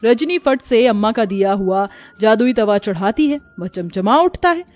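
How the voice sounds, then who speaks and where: High-voiced woman narrating a cartoon story. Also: animated, normal speed, a little mellow, faint music behind.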